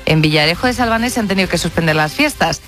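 A woman's voice: lowish voice, expressive, fast talker, a bit bright, faint music behind. A woman with an accent from Spain hosting a radio talk show in a conversational style.

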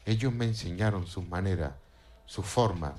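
A man presenting music on the radio, calmly narrating and translating song lyrics. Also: sits low, normal speed.

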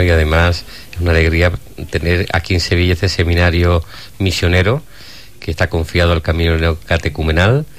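A man hosting an interview who welcomes and introduces his guests. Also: low voice. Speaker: host.

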